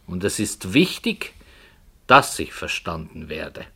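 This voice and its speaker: Slow, low-voiced, brooding storyteller. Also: male voice, wildly swooping pitch, breathy edge, heavily acted. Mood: intense, solemn. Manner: slow, hesitant, earnest, dramatic swells and hushes. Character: brooding male writer, solemnly spinning a dark strange tale. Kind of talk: 1960 German radio play, literary storytelling.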